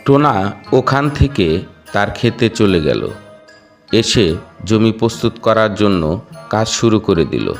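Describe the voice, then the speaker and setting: Low-voiced male cartoon story narrator. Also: animated, measured pace, pauses often, soft background music.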